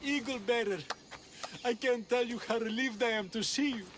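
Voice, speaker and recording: Casting: a beleaguered ancient Greek game captive, high, anxious and earnest voice. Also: male voice, normal speed. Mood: shaken, relieved. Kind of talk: video game cinematic acting.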